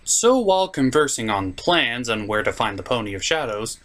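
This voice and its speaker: A man delivers comedic review commentary as a host. His pitch moves around a lot, and he comes across as sarcastic and exasperated, talking like someone riffing on a cartoon. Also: very animated, normal speed, a bit bright.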